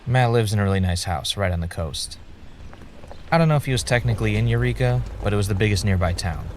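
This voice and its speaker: A man's voice: fairly low pitch, lively intonation, fast talker, a little hiss or hum, close to an everyday voice. A man playing a guarded, wry podcaster and former reality contestant in a naturalistic audio drama. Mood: reflective. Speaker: podcast host and narrator.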